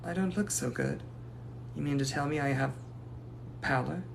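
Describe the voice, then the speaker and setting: A man's voice: a little high, moderate pace, vocal fry, slight background noise, low-quality recording. A man voicing the ghost of a young lady from the 1880s, speaking in a formal, old-fashioned and gracious manner. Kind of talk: improvised live roleplay answering viewers.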